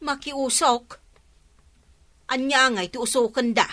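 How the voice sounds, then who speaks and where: A woman's voice: high voice, unhurried, sharp tone. An actress playing an inquisitive, skeptical village woman in an Ilocano radio drama. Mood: curious.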